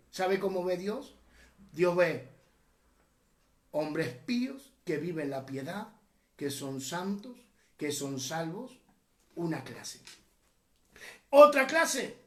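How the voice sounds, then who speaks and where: A man's voice: sits high, reverberant. A man from Uruguay preaching a teaching sermon, impassioned, rising and dropping sharply and often calling the listeners brothers. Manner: impassioned, rises and drops sharply, often says "hermanos".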